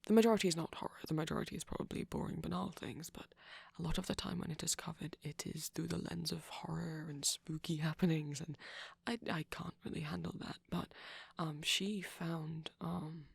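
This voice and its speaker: Man playing a breathy, shy vampire boyfriend. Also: fairly high pitch, fairly even intonation, brisk, few pauses, dry recording, full character voice. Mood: softly enthusiastic, hesitant. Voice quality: soft, breathy, husky, often near a whisper. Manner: hesitant, bashful, rambling tenderly. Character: shy, gentle young male vampire boyfriend. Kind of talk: intimate ASMR boyfriend roleplay.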